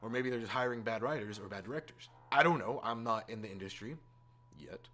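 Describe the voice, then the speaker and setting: A man's voice: mid-range voice, fairly quick, bright, forceful tone. A man hosting a casual opinion rant, exasperated and informal, repeating words for emphasis. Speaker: YouTube host. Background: background music.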